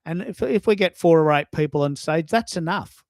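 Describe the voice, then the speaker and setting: A man's voice: a little high, moderate pace, gravelly, a little mellow, dry recording, clean studio sound. A man hosting a casual live audio discussion, talking in an effusive, storytelling way with emphatic asides. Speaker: host of a live social audio show.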